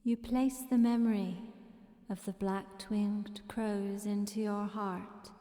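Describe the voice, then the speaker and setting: A woman reading a poetic narrative in a gentle, measured, meditative way, with pauses between short phrases. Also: mid-range voice, flat delivery. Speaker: poet and narrator. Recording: long reverberant echo with music behind. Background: music.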